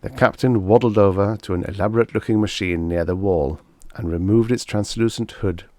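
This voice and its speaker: A man's voice: on the low side, a little fast, mellow, dry recording. A man reading a scripted story as an audiobook narrator.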